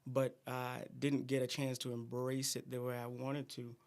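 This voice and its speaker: A middle-aged man from Guyana, a singer, chatting in an interview in a soft, halting way with many fillers. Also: medium pitch, monotone. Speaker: guest singer. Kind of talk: casual interview chat.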